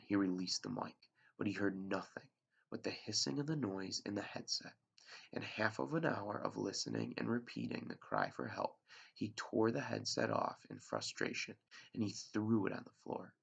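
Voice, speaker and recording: A male teacher reads a storybook aloud in a deep, heavily gravelly voice with a husky edge. His reading is plain and unpolished, with small stumbles and restarts. The recording sounds dull and lo-fi. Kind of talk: read-aloud storybook narration.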